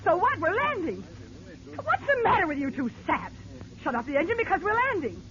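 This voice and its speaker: A woman's voice: very high-pitched, sing-song, a little fast, a little hiss or hum, full character voice. An actress playing a brassy, bossy, slangy woman film star in a vintage radio horror drama. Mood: bossy, scornful. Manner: slangy, sharp-tongued, demanding. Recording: dull lo-fi old radio recording.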